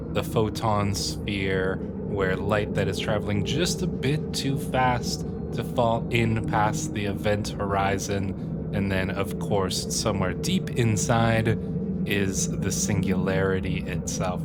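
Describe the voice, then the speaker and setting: A man's voice: fairly low pitch, very noisy. A man from Canada with a bad cold, giving casual, musing commentary as host of a strategy game roleplay video. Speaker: YouTube gaming host. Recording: loud music under the voice. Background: music.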